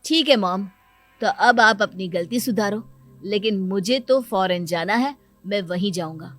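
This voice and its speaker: A woman's voice: medium pitch, measured pace, fairly bright tone, close to an everyday voice. A woman voicing a headstrong, blunt son in his twenties who defies his parents in a family cartoon. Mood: defiant. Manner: blunt, defiant. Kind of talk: Hindi moral-story cartoon dialogue.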